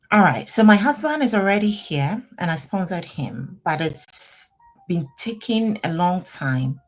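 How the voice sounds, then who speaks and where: A woman in middle age, talking on a live stream as an immigration attorney. She reads out viewer comments and answers them in a chatty, animated way. Her voice sits a little low, picked up by a webcam mic at her desk. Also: poor audio.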